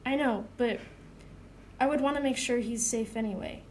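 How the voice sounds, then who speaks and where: Actress as dependable young male student, audio drama: lightly high voice, sincere and conversational, some noise behind. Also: moderate pace, darkish tone, natural voice. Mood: earnest, concerned. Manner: earnest, conversational.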